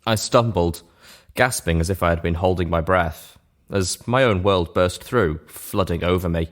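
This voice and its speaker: Deep-voiced man reading a story. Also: normal speed. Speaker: audiobook-style reader. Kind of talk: first-person story narration.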